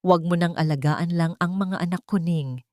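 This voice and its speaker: A woman's voice: on the low side, normal speed, dark tone, no room sound, clean studio sound, lightly acted. A woman voicing an earnest, remorseful father in love in an audiobook, solemn and heartfelt. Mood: tender, pleading. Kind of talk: dramatic audiobook dialogue.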